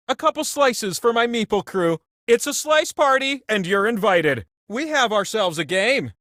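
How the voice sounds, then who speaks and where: High-pitched, grandiose giant talking wheel. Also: male voice, fairly bright tone, no echo, studio quality, heavily acted. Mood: cheerful hosting. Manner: theatrical, pompous yet chatty and playful. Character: giant majestic talking wheel, grandiose, goofy game-show host. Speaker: game show host. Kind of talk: animated video game host lines.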